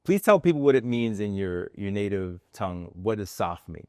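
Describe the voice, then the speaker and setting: A man's voice: lowish voice, sing-song, normal speed, a little mellow, dry recording. A man hosting a casual interview podcast in a studio, talking in a laid-back, slangy way and often cutting in. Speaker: podcast host.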